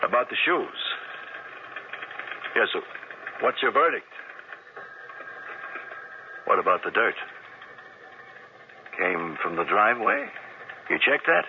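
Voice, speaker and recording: A brisk police sergeant working the phone. His voice moves briskly up and down as he talks business. The sound is tinny, like an old radio broadcast. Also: on the low side, big pitch swings, slight background noise, full character voice. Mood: businesslike.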